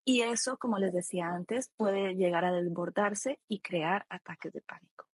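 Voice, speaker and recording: Husky-voiced Costa Rican woman, conversational. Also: lowish voice, steady tone, normal speed, dry recording, studio quality. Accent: Costa Rican Spanish. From Costa Rica. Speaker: expert guest, psychologist and author. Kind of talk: conversational interview, explaining.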